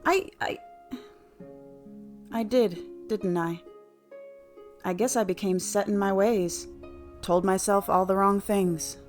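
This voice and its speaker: Actress playing earnest middle-aged woman, regretful, unhurried, halting, emotive, radio play, faint music behind. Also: medium pitch, fairly even intonation, a little mellow, close to an everyday voice. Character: earnest, regretful career woman newly arrived in afterlife.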